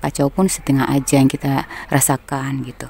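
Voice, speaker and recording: A woman from North Maluku with her regional accent, giving a gentle, unhurried tarot reading as its host. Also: very deep voice, little pitch movement, breathy edge. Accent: North Maluku Indonesian. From North Maluku, Indonesia. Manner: gentle, unhurried, reassuring, with soft laughs. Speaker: tarot reader. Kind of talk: casual tarot reading monologue.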